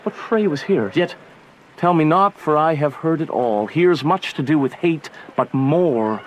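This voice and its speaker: Lovesick young nobleman, melancholy, slow declamatory verse, sighing, radio tragedy, faint background noise. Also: fairly high pitch, expressive, low-quality recording. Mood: lovesick brooding. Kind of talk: theatrical verse radio drama acting.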